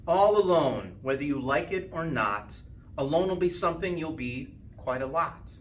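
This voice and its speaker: A man reading a rhyming children's storybook aloud with expressive, sing-song phrasing and drawn-out line endings. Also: a little high. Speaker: school principal reading a storybook. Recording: distant mic with faint background noise.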